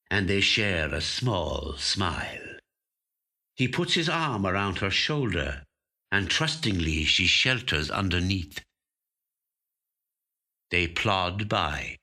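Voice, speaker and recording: An actor voicing an aging, watchful, covetous magpie in measured, deliberate first-person literary storytelling. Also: male voice, fairly low pitch, sharp tone, exaggerated voice. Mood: wistful, covetous. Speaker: actor reading a short story. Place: recording studio.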